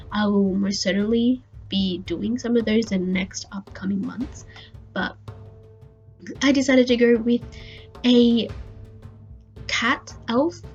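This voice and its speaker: A woman with a fairly high voice, a touch croaky from a recent illness, talks through her journaling in a soft, chatty way. The recording sounds a bit dull and muffled, with faint music playing under it. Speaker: bullet journal video creator. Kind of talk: voice-over narration of a crafting video. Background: faint background music.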